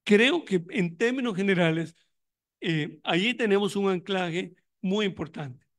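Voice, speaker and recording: A man's voice: high voice, unhurried. A man giving a conference talk on education, thoughtful and reflective, with frequent restarts and fillers. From Costa Rica. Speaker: panelist on migration in education; university educator running tutoring programs.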